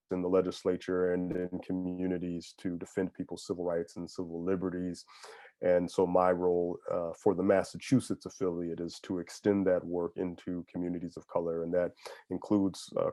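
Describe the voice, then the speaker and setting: A man, a civil rights advocate, speaking on an online panel in a measured, steady way. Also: low-pitched, no room sound.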